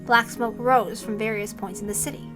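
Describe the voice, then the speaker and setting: A woman narrates a dramatic visual novel in a high voice. She reads scripted story passages aloud over clearly audible music. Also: steady tone, moderate pace.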